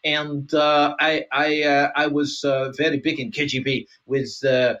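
A man acts out a retired Soviet spy in an improvised comedy sketch. He rambles in broken English in a somewhat high male voice, with a mock Russian accent that can wander into Scottish. He sounds sleepy and nostalgic, hesitating as he goes. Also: level tone, fairly bright tone, dull audio. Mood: wistful, earnest. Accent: mock Russian, sometimes slipping into Scottish. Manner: halting, rambling, broken English with dropped articles. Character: retired Russian KGB lieutenant colonel, sleepy, nostalgic, comic. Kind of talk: improvised comic role-play.

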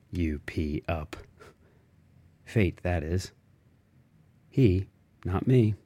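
Man, audiobook reader, deep pitch, slow pace, literary interior monologue, musing fragmented phrasing. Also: mellow.

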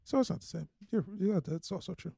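A man's voice: high-pitched, animated, fast, creaky, warm tone, slight background noise. An American man co-hosting a podcast with casual, chatty sports banter. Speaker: podcast co-host.

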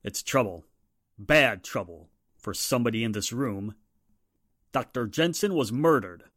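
A man's voice: medium pitch, animated, a little slow, a bit bright, no echo, clean studio sound. Man playing a tough, commanding police inspector. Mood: grave. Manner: curt, commanding, sarcastic. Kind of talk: 1940s radio drama acting.

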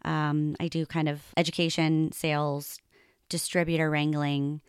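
A woman's voice: low-pitched, little pitch movement, measured pace, smooth, no echo. Chatty woman host, dry close sound. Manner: chatty, laughs often, many fillers. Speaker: podcast host. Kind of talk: casual interview chat.

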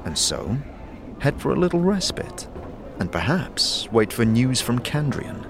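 A man's voice: mid-range voice, big pitch swings, measured pace. A man narrating a scripted fantasy audio drama in a dramatic, second-person storytelling style. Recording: music behind the narration. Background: music.

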